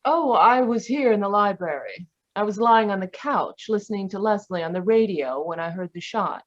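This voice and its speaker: A woman's voice: medium pitch, lively intonation, moderate pace, close to an everyday voice. A woman playing a polite, genteel young lady of a wealthy household in an old-time radio mystery. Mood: polite, composed. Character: well-mannered daughter of a murdered millionaire. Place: remote video call performance. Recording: dull, muffled video-call audio.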